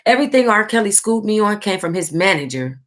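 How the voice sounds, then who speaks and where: A woman reading a book aloud in an informal, expressive way, like a host sharing it with her book club. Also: medium pitch, fast. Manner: expressive, informal, breaks into amused asides. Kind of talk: reading aloud with casual reactions.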